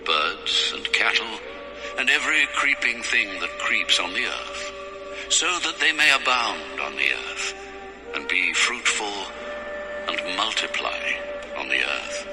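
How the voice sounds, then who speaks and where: An actor playing God as a solemn, commanding ancient deity in a dramatized scripture reading, speaking in measured phrases. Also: male voice, lowish voice, very animated, full character voice. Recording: music under the voice.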